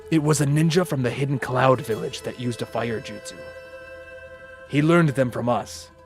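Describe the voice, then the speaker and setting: A man's voice: mid-range voice, moderate pace, faint music behind, close to an everyday voice. An actor playing a stern, unsympathetic male ninja interrogator in an audio drama. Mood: matter-of-fact. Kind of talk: fan audio drama acting.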